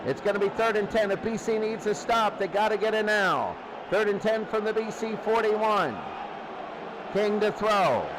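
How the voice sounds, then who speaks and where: High-pitched football announcer, noisy crowd. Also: male voice, animated, moderate pace. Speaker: play-by-play sports announcer. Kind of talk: live American football radio commentary. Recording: loud crowd noise behind commentary. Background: noisy sports crowd.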